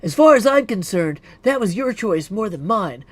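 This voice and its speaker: A man's voice: high-pitched, very animated, moderate pace, no echo. A man voicing an earnest young woman, a caring but forthright witch in a video game, speaking emphatically. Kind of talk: video game character acting.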